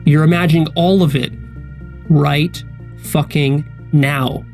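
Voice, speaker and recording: A man putting on a loud, dramatic announcer voice for a podcast intro, with music behind. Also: fairly high pitch, fairly even intonation, takes it slowly, creaky, voice pushed up.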